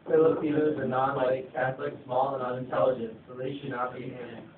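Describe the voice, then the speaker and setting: A stern objector in a puppet show voiced by a man, declaring his lines in a flat monotone. He sounds distant, in an echoey room. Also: mid-range voice, fairly quick, a little hiss or hum, lightly acted. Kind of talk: amateur puppet show, read from script. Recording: tinny phone recording, distant, echoey.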